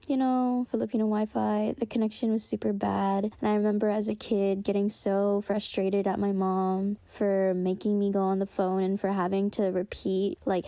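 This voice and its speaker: A woman's voice: mid-range voice, dark tone, dry recording. A young woman reflecting on her family heritage in a wistful, unscripted way, full of fillers and restarts. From United States. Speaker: storyteller sharing her family history.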